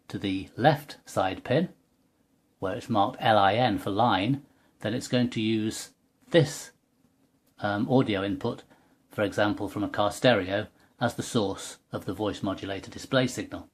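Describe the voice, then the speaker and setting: A man with a fairly low, husky voice presents a technical tutorial. He explains things step by step in a precise, methodical way, as if demonstrating a product by hand. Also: moderate pace.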